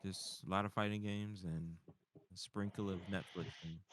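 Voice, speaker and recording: A man's voice: low-pitched, steady tone, moderate pace, noisy background. A man chatting casually, swearing now and then and often repeating himself as he finds his phrasing. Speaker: panelist on a nerd culture show. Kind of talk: casual chat.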